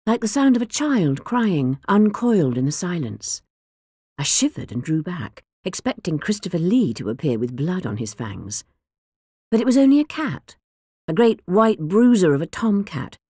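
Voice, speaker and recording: A woman narrating an audiobook in the first person with measured, expressive storytelling. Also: lowish voice, husky, dry recording, clean studio sound. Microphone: close studio mic.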